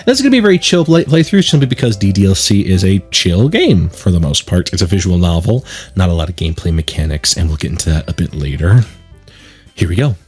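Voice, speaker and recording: A man's voice: low-pitched, sing-song, fast talker, darkish tone, breathy edge, faint music behind. A man hosting a let's play, chatting and explaining casually and reading game text aloud.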